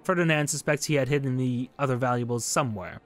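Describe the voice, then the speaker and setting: A man's voice: medium pitch, fast, fairly bright tone, lightly acted. A man voicing a nervous, self-serving witness in a historical game, explaining himself evasively.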